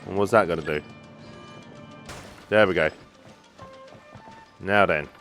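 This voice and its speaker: A man's voice: low voice, slow, fairly bright tone. A man hosting a gaming video, thinking aloud in casual playthrough commentary. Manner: thinks aloud, reacting to the game. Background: game music.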